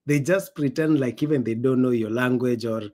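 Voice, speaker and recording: A man's voice: mid-range voice, a little fast, a little mellow. A man from Kenya with a Kenyan accent, hosting a podcast in a casual, emphatic way and acting out quoted dialogue. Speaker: podcast host. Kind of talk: casual conversational storytelling.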